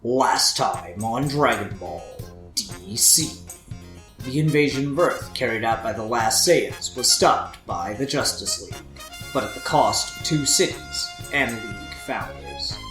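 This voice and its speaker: Very deep-voiced woman recap narrator. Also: lively intonation, measured pace, echoey room, music in the background. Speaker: recap announcer. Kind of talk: scripted dramatic recap narration.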